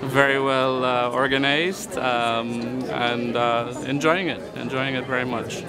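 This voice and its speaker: A man's voice: mid-range voice, unhurried, over loud music. A middle-aged man from Barbados, chatting easily in a street-style interview with lots of fillers. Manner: relaxed, hesitant with many fillers. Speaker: conference participant. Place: busy conference center hallway.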